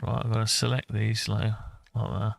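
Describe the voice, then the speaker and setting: A man thinking aloud and muttering to himself through an unscripted software walkthrough. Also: on the low side, flat delivery, moderate pace, creaky, no room sound, clean studio sound. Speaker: hobbyist tutorial maker.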